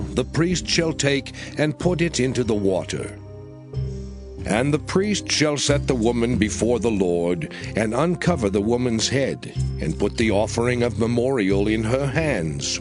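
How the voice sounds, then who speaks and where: A man reading scripture in a formal, solemn style for a dramatized audio Bible. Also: mid-range voice. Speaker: scripture reader. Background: music.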